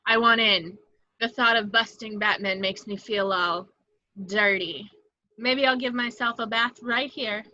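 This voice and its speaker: A woman's voice: fairly high pitch, unhurried, sharp tone, highs cut off. A woman playing a sly, vengeful villainess with a seductive, dry manner, in an amateur film script read. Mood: vengeful, sultry. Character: sultry, vengeful, sly female cat-themed villainess.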